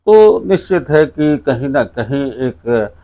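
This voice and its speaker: A man's voice: medium pitch, sing-song, takes it slowly, mellow. A man, interviewing conversationally and adding his own comments as he leads into questions.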